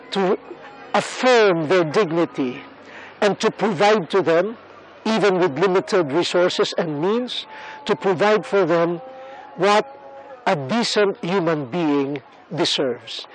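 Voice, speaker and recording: A middle-aged church leader speaking outdoors on camera, measured and earnest, with frequent pauses. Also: male voice, high-pitched, a little hiss or hum, rough recording. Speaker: church leader visiting a camp. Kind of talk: reflective on-camera statement. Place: outdoors on a rooftop above a camp.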